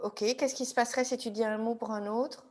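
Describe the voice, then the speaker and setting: A woman guiding a therapy session, asking calm, probing questions. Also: a little high, fairly quick. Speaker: EFT practitioner.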